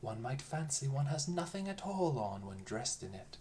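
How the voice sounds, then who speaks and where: A reader voicing a sly, flattering rogue weaver in a storybook tale, presenting in an obsequious, showy way. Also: medium pitch, moderate pace, slight background noise, close to an everyday voice. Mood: fawning.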